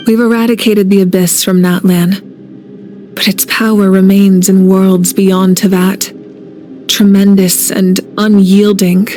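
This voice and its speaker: An actress playing a resolute, composed warrior leader in a video game, speaking earnestly. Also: female voice, medium pitch, a little mellow, a little hiss or hum, lightly acted. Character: resolute warrior archon woman, calm, self-sacrificing leader. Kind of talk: video game cutscene acting.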